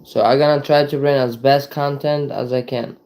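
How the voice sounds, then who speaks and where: A young man from Ukraine with a Ukrainian accent, chatting casually to viewers on a live stream, rambling with halting restarts and lots of "you know". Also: medium pitch, fairly even intonation, a little mellow, low-quality recording. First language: Ukrainian and Russian.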